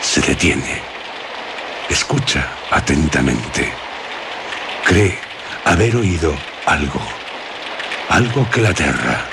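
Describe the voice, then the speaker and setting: An actor playing an ominous, insistent storyteller in a radio drama, speaking theatrically with suspenseful pauses. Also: male voice, rumbling low, lively intonation, vocal fry, noisy background, full character voice. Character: ominous, insistent male storyteller coaxing out dark secrets.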